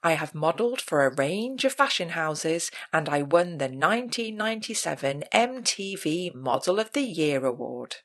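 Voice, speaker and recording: A woman reading out a scripted pub quiz as host, in a measured, announcing manner. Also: deep voice, animated.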